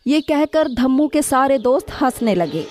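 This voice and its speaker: A woman with a fairly high voice narrates a scripted story for children. She tells it as a storyteller, with music playing underneath. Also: animated, normal speed, a little mellow. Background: background music.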